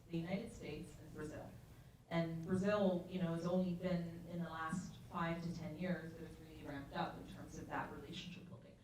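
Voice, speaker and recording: A woman from Ethiopia talks through her points on a panel in a thoughtful, explanatory way, often restarting her sentences. Her voice sits a little low. It is picked up from a distance in a large, echoey hall with faint noise behind her. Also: darkish tone. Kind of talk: panel discussion. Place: large university event hall. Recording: echoey hall, far from mic.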